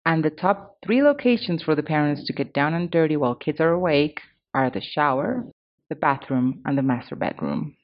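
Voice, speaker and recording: A woman's voice: low-pitched, expressive, moderate pace. Woman podcaster, dull muffled audio. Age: 30s. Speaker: podcast host. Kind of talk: scripted podcast narration with personal commentary.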